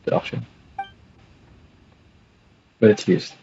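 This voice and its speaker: A man's voice: slow pace, mellow, highs cut off, slight background noise. A man casually reading video game text aloud as the player.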